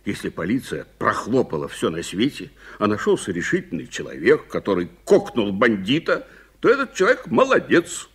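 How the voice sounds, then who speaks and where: A hot-tempered business boss in an old radio play. His low, husky voice swings widely in pitch as he blusters, emphatic and volatile. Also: wildly swooping pitch, normal speed, full character voice. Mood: defiant. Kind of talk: 1980s Soviet radio drama acting.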